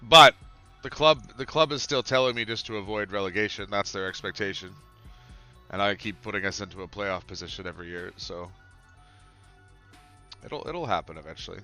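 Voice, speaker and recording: Stuffy-nosed male streamer, low and sharp-edged, relaxed musing with quick excited outbursts. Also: soft background music. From Canada. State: stuffed up with a cold. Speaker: streamer playing a football management game. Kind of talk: casual gameplay commentary.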